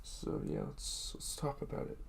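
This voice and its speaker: A young man, hoarse from just waking up, casually recapping a show episode with a rambling, chatty manner. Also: normal speed, slight background noise. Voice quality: gravelly.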